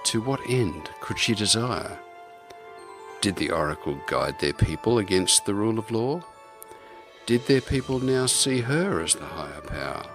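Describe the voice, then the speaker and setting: A man with a very low voice presents like a theatrical radio host. His scripted delivery has the shape of storytelling, and music plays clearly behind him. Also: lively intonation, unhurried. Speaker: radio program host and narrator.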